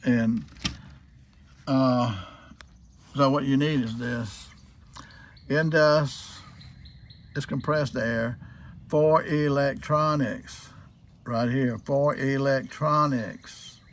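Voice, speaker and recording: A man's voice: medium pitch, fairly even intonation, very slow, pauses often, slight background noise. A man talking casually and unscripted through a hands-on demonstration, folksy and repetitive, posing questions and answering them himself. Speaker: hobbyist demonstrating old radio restoration.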